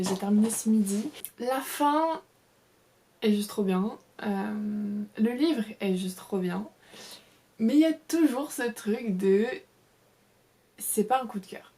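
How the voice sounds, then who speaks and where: A woman's voice: medium pitch, mellow. A young woman chatting casually about her reading in a vlog, enthusiastic and full of fillers and restarts. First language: French. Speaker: book vlogger.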